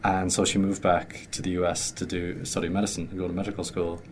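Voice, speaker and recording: Irish guy in his 20s, lowish voice, lots of ums and restarts, faint room hum behind. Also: little pitch movement, fast talker. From Ireland. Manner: rambling, many fillers and restarts. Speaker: graduate student guest. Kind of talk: casual interview chat.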